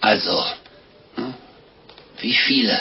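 A man's voice: lowish voice, slow pace, husky, full character voice. An actor in a 1950s German radio drama playing a naive, horrified priest, hesitant and exclaiming as he hears a confession. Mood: aghast, faltering. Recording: dull, noisy old radio recording.

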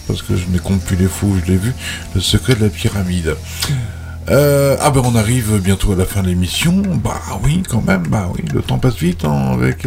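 A man's voice: mid-range voice, gravelly, a little mellow. A man hosting a casual radio show about film music, chatty and affectionate as he signs off. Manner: chatty, affectionate, jokey sign-off.

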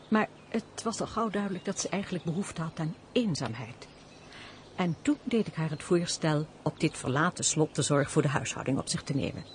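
Posh countess, very animated voice, dry teasing, old radio drama. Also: female voice, sits low, slight background noise. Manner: formal, dryly teasing. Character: teasing, sensible countess, elder-sisterly and worldly. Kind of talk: Dutch radio play, period stage dialogue.

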